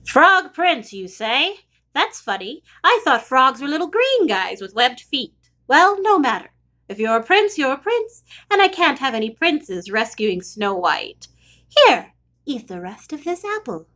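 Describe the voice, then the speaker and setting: Woman putting on a high-pitched suspicious storybook witch. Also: sing-song, unhurried, bright, forceful tone, heavily acted. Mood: suspicious, scheming. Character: fussy nagging witch, wary of princes, storybook villain.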